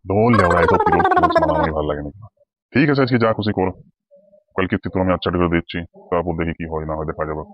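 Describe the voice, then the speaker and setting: A man's voice: low-pitched, big pitch swings, racing, dull audio, noisy background. A man voicing a crude, hot-tempered, lustful village man, blustering coarsely in a comedy cartoon. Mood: lustful, irritated. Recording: music behind the voice. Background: music.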